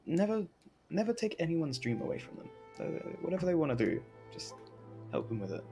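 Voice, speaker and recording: A man's voice: on the high side, sing-song, fairly quick, gravelly, mellow. A young man casually commentating on a game stream in a slangy, rambling, jokey way. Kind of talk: casual live game stream commentary, reading aloud and reacting. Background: game music.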